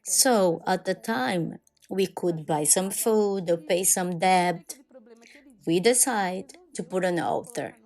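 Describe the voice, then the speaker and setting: A woman voicing over a personal testimony in non-native English, speaking in short, deliberate phrases. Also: lowish voice, darkish tone. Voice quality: non-native English with halting, irregular grammar. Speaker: voice-over translator of a church testimony. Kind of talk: dubbed first-person testimony narration.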